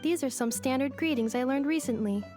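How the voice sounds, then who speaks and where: A composed female android, voiced by a woman in a video game, speaking in exact, formal phrases with a slightly high voice. Her manner stays literal and polite. Game music plays clearly behind her. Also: fairly quick, natural voice. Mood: inquisitive. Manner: flat, precise, formal machine-like phrasing. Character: female android, calm literal-minded robot. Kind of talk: game voice acting. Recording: game dialogue over music. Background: game score music.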